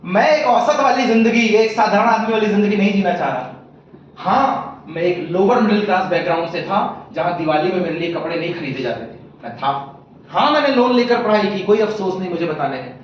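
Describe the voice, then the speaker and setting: High-pitched man in an echoey hall. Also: fairly quick. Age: 20s. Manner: animated storytelling, acting out voices and frog croaks; mixes English phrases into Hindi. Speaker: motivational speaker. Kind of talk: motivational stage talk to an audience. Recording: echoey hall, muffled, light noise.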